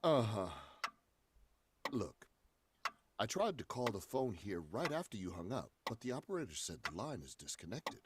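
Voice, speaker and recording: An actor playing a bewildered, impatient gentleman in 1940s radio horror style, questioning everything in an exasperated, emphatic way. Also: lowish voice, sing-song, moderate pace, heavy background noise. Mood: suspicious.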